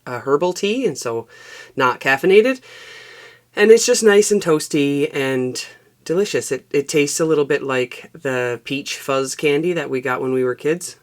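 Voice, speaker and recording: A woman, hosting a knitting vlog in relaxed, rambling chat. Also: rumbling low. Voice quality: raspy. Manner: relaxed and rambling, with frequent fillers. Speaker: knitting podcast host. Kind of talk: casual chatty knitting vlog. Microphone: external microphone.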